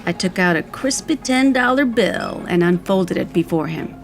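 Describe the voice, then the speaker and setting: A woman's voice: lowish voice, animated, normal speed, creaky, faint music behind. A woman narrating audio fiction in the first person, with a wistful, vivid storytelling manner.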